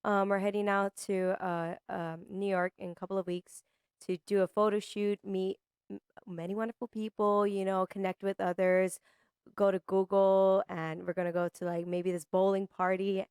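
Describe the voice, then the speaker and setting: A young American woman with a low, raspy voice, chatting casually and playfully as a singer being interviewed on a podcast. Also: flat delivery, moderate pace, a little mellow, no room sound, clean studio sound. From Hawaii, USA.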